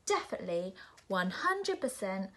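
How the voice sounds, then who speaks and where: A woman voicing a bored, stubborn young girl who insists loudly, in a breathy voice. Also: medium pitch, big pitch swings, unhurried. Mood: insistent. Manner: insistent, exasperated.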